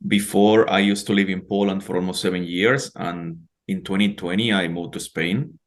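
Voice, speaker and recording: A young man from El Salvador talks as a guest in a casual podcast interview. His voice is low, and his speech is measured. He often softens his points with "let's say" and "I would say". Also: steady tone.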